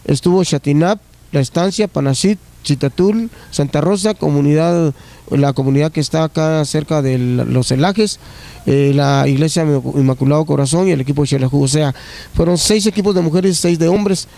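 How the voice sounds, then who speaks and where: A man's voice: fairly high pitch, moderate pace, gravelly, warm tone. A man from Guatemala, a municipal youth coordinator, answering an on-site interview at a stadium in a hesitant way, with many fillers and restarts.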